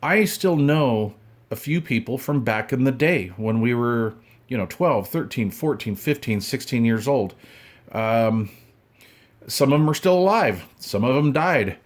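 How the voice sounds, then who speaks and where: A man in his fifties with a mid-pitched voice talks like a hobbyist showing things to friends. His delivery is casual and folksy. He rambles along in loose, informal slang. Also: lively intonation, measured pace. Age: 50s. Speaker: radio hobbyist vlogger. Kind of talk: casual unboxing chat.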